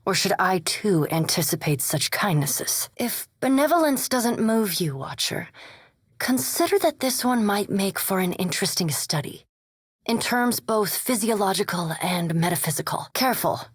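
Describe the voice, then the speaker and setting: A reserved vampire scholar speaks in a medium-pitched woman's voice, choosing words with formal precision. The game dialogue is measured and coolly polite, recorded close and dry with no room sound. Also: expressive, slightly rough, no room sound. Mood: polite, guarded. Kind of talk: video game character dialogue.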